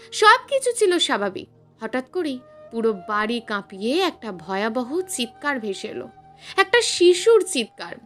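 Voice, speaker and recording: A woman's voice: high-pitched, fairly bright tone. A woman narrating a scripted suspense story in a dramatic, emphatic storytelling manner.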